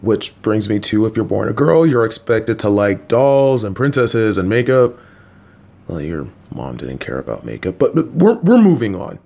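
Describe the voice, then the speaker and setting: A man voicing a gentle, awkward, supportive middle-aged father, speaking in a slow, halting way with dad jokes. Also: lowish voice, very animated, gravelly. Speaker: voice actor playing a father. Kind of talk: audio roleplay.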